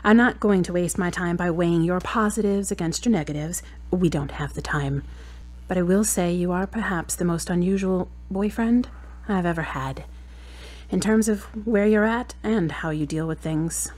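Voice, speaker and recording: A woman's voice: fairly low pitch, moderate pace, darkish tone, lightly acted. Wry, exacting girlfriend played by a woman. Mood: exasperated, frank. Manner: wry, challenging, pointed questioning. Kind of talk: audio drama dialogue.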